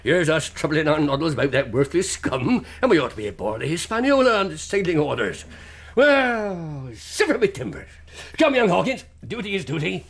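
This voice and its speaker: Sly, swooping seadog. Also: male voice, fairly high pitch, big pitch swings, normal speed, breathy edge, exaggerated voice. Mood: blustering. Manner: folksy old seadog talk, swooping between mutters and bellows. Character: sly, hearty one-legged sailor and ship's cook. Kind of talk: radio drama acting.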